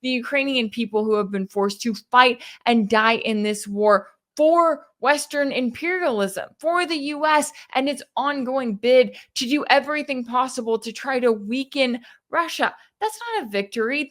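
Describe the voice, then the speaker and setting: A woman's voice: a little high, a little slow, hardly any pauses, a bit bright. An American woman giving opinionated political commentary as a host, with an emphatic, rhetorical delivery and sarcastic asides. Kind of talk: opinionated news commentary, reading interview quotes and reacting.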